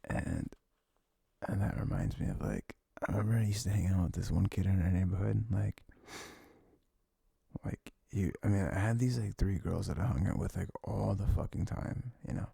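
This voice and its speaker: A young man talking in a casual, unscripted, intimate ASMR style, rambling and halting with a lot of "like", his gravelly voice often sinking toward a whisper. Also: lively intonation, no room sound. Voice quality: low, gravelly, breathy, often dropping near a whisper. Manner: rambling, halting, frequent "like", soft chuckles. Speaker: ASMR audio creator playing a comforting boyfriend.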